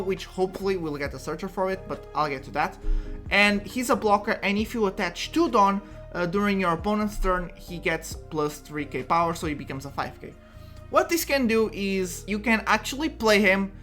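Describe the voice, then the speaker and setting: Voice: mid-range voice, fairly bright tone. Chatty Portuguese-accented card game explainer. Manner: chatty, restarts sentences, light chuckles. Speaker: card game content creator. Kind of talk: casual explainer talk to camera.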